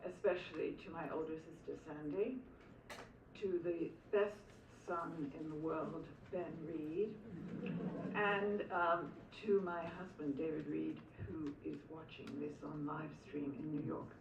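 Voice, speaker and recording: An older woman with a low voice and a Philadelphia accent softened by English traces gives a reflective acceptance speech. She pauses now and then, and her voice rings through a large echoing hall from some distance, with faint room noise behind it. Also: steady tone, muffled recording. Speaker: honoree giving acceptance speech. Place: school hall at an awards ceremony. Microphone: podium microphone. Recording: echoey hall, distant mic.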